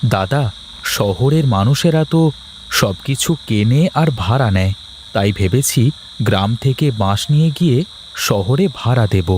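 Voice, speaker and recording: A man's voice: fairly low pitch, expressive, a little slow, a little mellow. A hard-up, practical working fellow in a cartoon tale , acted by a man. He talks in a plain, matter-of-fact way over faint insect noise. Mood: explaining. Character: struggling town worker, practical younger brother returning to his village. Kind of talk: cartoon story dubbing.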